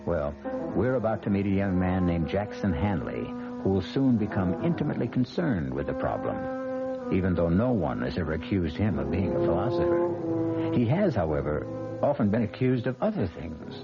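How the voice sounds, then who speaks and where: A man narrating as host of an old-time radio mystery, in a measured, wry, philosophical manner. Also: low-pitched, big pitch swings, muffled recording. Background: prominent music.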